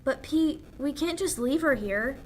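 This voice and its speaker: A young woman acting an anxious, sharp-tongued fiancée in a 1940s-style radio drama. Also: high voice, expressive, measured pace. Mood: impatient. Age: young adult. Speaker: high school actor. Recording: background noise.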